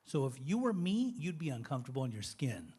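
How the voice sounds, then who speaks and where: A man from Utah speaking as a guest at a church recovery meeting. He tells his life story to the audience in a calm, measured way. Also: mid-range voice. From Utah, USA. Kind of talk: personal testimony to an audience. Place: church hall, speaking from a stage.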